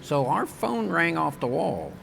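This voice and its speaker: An older man, a company president, answering interview questions on a conference stage in a measured, engineer-like way with frequent ums and restarts. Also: fairly high pitch, very animated, creaky, fairly bright tone, a little hiss or hum. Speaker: wind turbine company president.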